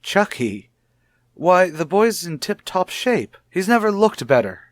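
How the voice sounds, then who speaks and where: An actor playing a cold, short-tempered, domineering husband in a 1950s radio soap, formal and condescending. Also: male voice, high-pitched, big pitch swings, a little slow, no echo, clean studio sound. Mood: irritated, dismissive. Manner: formal, condescending, clipped disapproval.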